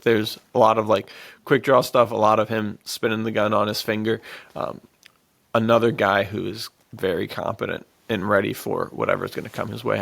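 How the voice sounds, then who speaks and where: A man's voice: lowish voice, gravelly, fairly bright tone. A man co-hosting a podcast in casual, enthusiastic chat, with slangy talk peppered with "dude". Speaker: podcast co-host.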